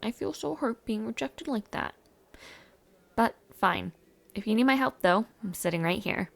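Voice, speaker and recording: A young woman acting in an intimate audio roleplay as a warm, shy, playful university student, with a hesitant, giggly, softly teasing way of talking. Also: mid-range voice, expressive, normal speed, creaky. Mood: coy, curious.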